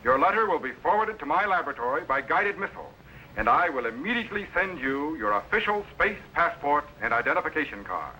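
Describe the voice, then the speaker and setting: A commanding captain of a time machine in vintage TV sci-fi. His fairly high voice swings in an animated way, with crisp authority and a bright, forceful edge. The old soundtrack has a light layer of noise. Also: brisk, heavily acted. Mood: friendly, presentational. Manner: crisp, authoritative, kindly instructing. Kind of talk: 1950s television sci-fi acting. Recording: slightly noisy old 1950s TV soundtrack.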